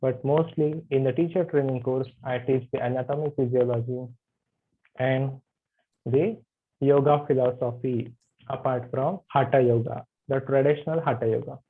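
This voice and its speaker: A man introducing himself as a yoga anatomy teacher in an online class, halting with many fillers. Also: medium pitch, steady tone. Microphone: video call. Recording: tinny video call audio.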